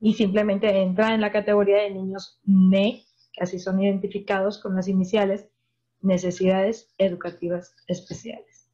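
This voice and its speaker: Lady psychologist talking to parents on a video call, warm mellow voice, kinda muffled audio. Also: mid-range voice, little pitch movement, normal speed. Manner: explanatory, asks listeners rhetorical questions. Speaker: psychologist giving a talk to parents. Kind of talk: virtual lecture to parents.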